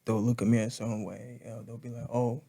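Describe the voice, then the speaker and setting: A young man in his twenties from Congo, co-hosting a casual podcast and thinking aloud in halting, reflective bits. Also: medium pitch, little pitch movement, gravelly, mellow. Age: 20s. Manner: halting, reflective, restarts often. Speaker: podcast co-host. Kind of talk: casual chat.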